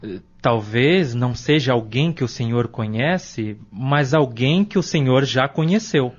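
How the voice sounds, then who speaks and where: A man's voice: medium pitch, expressive, a little slow, slightly rough. A man playing a thoughtful, respectful, easily worried teenage son in an audio soap, speaking carefully. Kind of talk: audio soap opera acting. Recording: muffled, dull audio drama recording.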